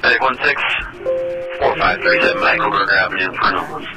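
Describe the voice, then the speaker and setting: Male dispatcher on a police scanner, lowish gravelly voice, clipped and terse, echoey and far away. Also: huge pitch swings, quick pace, loud music behind the voice. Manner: terse, repeats addresses digit by digit. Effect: two-way radio scanner. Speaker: emergency radio dispatcher. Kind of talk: clipped procedural radio dispatch. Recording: echoey scanner radio picked up from afar.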